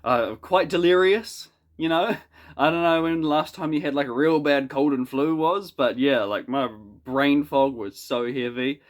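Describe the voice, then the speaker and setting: A man's voice: on the high side, a little slow. A man with a New Zealand accent and a head cold, giving a chatty, wry spoken review in a casual, self-deprecating way from his small apartment. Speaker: YouTube reviewer.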